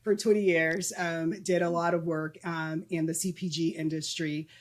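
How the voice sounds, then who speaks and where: A woman's voice: deep voice, flat delivery, normal speed. Middle-aged woman, from southern california, casual interview guest, rambling with ums, laughs easily. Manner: chatty, many ums and restarts, laughs easily. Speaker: guest, nonprofit executive.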